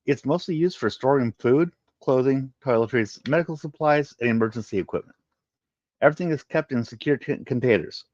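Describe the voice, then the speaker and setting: A man's voice: medium pitch, a little fast, darkish tone, voice pushed up, natural voice. A man voicing a helpful, earnest male alien facility worker who explains things in a sci-fi story. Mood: earnest, informative. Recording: muffled, roomy home recording.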